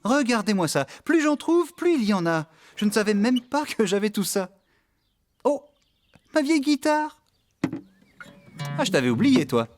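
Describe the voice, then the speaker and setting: A man's voice: sits high, very animated, normal speed, long pauses, soft background music. A man voicing a friendly, enthusiastic farmhand in a children's cartoon, exclaiming expressively. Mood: surprised. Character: friendly, enthusiastic male farmhand who plays guitar. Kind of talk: animated children's cartoon dub.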